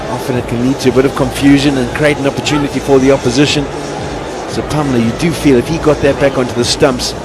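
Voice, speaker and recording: A man giving calm, analytical live cricket commentary. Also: mid-range voice, fast talker, loud music behind the voice. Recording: broadcast with loud crowd and noise.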